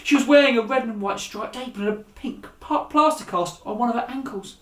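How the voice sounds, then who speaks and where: Man, children's storyteller, animated reading, echoey room sound. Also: extremely high pitch, fairly quick. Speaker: author and storyteller. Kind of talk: story reading aloud for young listeners.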